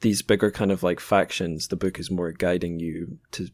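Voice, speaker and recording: A man's voice: deep voice, fairly even intonation, moderate pace, a little mellow, no echo. A man hosting a podcast interview in a casual, informal way, thinking aloud with some hesitation. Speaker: podcast host.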